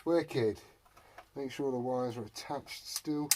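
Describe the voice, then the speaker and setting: A man's voice: medium pitch, takes it slowly. A British man rambling casually and jokingly to viewers while repairing something, swearing often and muttering to himself. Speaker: hobbyist repairer presenting a video. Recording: noisy home recording with clicks.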